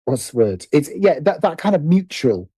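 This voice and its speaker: A man's voice: a little high, big pitch swings, normal speed, warm tone, husky, no echo, clean studio sound. A middle-aged British man speaking reflectively as an interview guest, often stopping to rephrase himself. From Britain. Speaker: guest, writer and producer.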